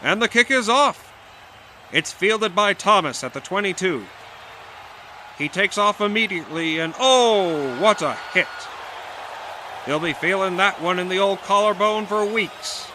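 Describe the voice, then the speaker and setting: An actor playing an excited 1920s football play-by-play announcer, calling the game in shouts. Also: male voice, sits high, a little slow, noisy background. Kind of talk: old-time sports commentary. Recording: music behind the voice.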